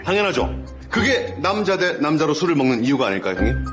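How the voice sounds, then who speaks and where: A man's voice: a little high, big pitch swings, fast talker, music in the background. An actor playing an eager young man who boasts politely and formally that he never gets drunk.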